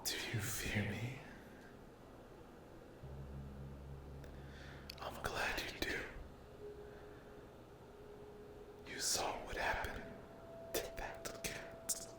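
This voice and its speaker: A man voicing a menacing, possessive sleep paralysis demon in a whispered ASMR roleplay, with slow, taunting, drawn-out phrases. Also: natural voice. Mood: gloating, sinister. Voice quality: breathy whisper with creaky low voice.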